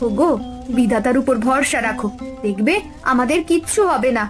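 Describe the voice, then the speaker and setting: A village wife and mother from a cartoon folk tale, voiced by a woman. Her voice is very high, and she scolds and frets over her family. Music plays underneath. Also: lively intonation, moderate pace. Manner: scolding, fretful. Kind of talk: cartoon voice acting.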